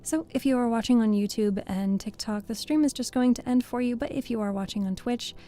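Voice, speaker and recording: A woman's voice: on the high side, a little fast, mellow. A woman live-streaming as a VTuber and book reader, chatting casually with viewers, thanking them by name and laughing often. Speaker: streamer and VTuber reading host.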